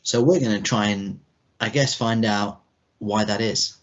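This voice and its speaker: A man's voice: lowish voice, measured pace. A man in his thirties co-hosting a podcast, chatting casually in a loose, rambling way. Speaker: podcast co-host. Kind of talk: casual chat. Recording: dull lo-fi podcast audio.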